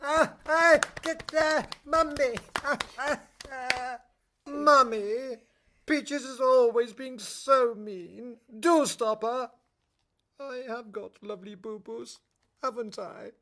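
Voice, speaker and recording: Silly ugly stepsister, acted by a woman: unhurried, whiny, self-admiring, pantomime radio comedy. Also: high-pitched, steady tone, a bit bright, heavily acted. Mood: whining, vain. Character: vain, whining, silly young ugly stepsister.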